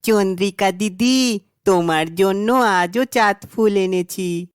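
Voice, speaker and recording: Woman as a smooth, slow-talking cartoon rabbit. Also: mid-range voice, no room sound, studio quality, lightly acted. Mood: friendly. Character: friendly, loyal small talking rabbit. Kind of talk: children's cartoon acting.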